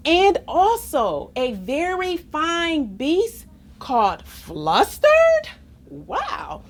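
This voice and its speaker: A woman reading a children's story aloud in an expressive, playful, theatrical manner, as if to young listeners. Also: extremely high pitch, drawn-out words. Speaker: story reader.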